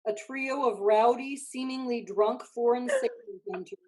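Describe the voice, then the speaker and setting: A woman's voice: high-pitched, sing-song, normal speed, poor audio. A woman reading stage directions as scripted narration for a play.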